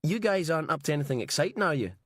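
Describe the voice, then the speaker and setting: A man's voice: fairly high pitch, animated, very fast, a bit bright, dry recording, clean studio sound, voice pushed up. A man reading an audiobook, voicing a bored, complaining young male cameraman. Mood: whiny. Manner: whiny, complaining.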